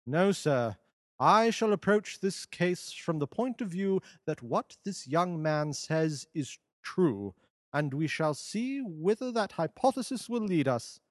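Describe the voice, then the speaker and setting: A man reading an audiobook, voicing a calm, brilliant detective who talks in a measured, lofty, amused way. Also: a little high, no echo, clean studio sound. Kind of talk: audiobook character voice.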